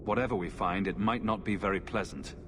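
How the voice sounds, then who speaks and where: Actor as a low-voiced, composed adventurer. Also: male voice, fairly quick, background noise, lightly acted. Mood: wary. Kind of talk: video game dialogue.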